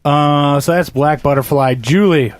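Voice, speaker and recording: A man's voice: fairly high pitch, a little slow, no echo. A man hosting a casual podcast chat, joking and steering the talk with questions. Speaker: podcast host.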